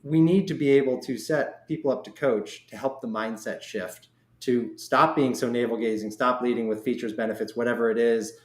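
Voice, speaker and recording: A man with a mid-pitched voice in a roomy, echoey space. He talks casually as a podcast host, slipping into little quoted asides as he explains things in a conversational way. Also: fairly even intonation, moderate pace. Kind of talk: casual interview chat.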